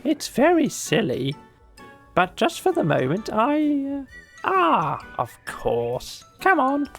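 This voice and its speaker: A reader voicing a bossy, fussy male rabbit with a brisk, officious manner for a children's storybook. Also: high-pitched, wildly swooping pitch, creaky, faint music behind, voice pushed up, heavily acted. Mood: flustered bluster. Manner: brisk, officious, covering up uncertainty.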